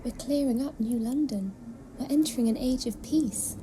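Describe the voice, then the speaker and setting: An eerie alien nursing sister, acted by a woman in a sci-fi audio drama, devout and enthralled. Her fairly high voice is hushed and solemn, often whispery, over clearly audible background ambience. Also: moderate pace, noisy background, natural voice. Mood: serene, fanatical.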